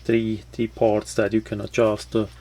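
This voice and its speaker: A low-voiced man walks through a product in a casual, unscripted way. He speaks slowly, often halting to find the next phrase. His intonation stays quite flat throughout. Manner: halting, non-native English phrasing. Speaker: product reviewer.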